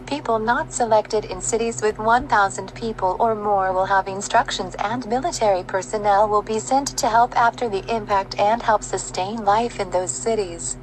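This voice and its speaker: A woman's voice: medium pitch, normal speed, few pauses, a little hiss or hum, close to an everyday voice, poor audio. A woman playing a solemn broadcaster, reading official disaster instructions in a formal, composed bulletin style. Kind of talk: scripted news bulletin.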